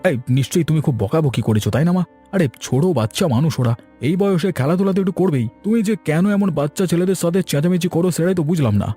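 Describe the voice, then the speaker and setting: A man's voice: a little high, lively intonation, very fast, warm tone, breathy edge, soft background music. A man voicing a grown village son in a cartoon, chatty, teasing and full of questions. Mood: teasing reproach. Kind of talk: Bengali cartoon story dialogue.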